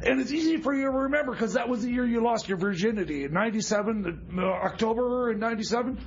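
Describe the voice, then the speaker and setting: A man playing a bumbling, flustered radio sidekick in improvised comedy banter, halting and stammering as he fumbles along. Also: very high voice, breathy edge, full character voice. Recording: dull, lo-fi sound with noise.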